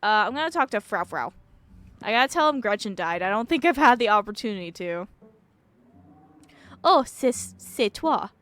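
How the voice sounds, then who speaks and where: A woman's voice: mid-range voice, animated, quick pace, a bit bright, no room sound. A woman streaming a game, chatting casually and reacting informally while reading dialogue aloud.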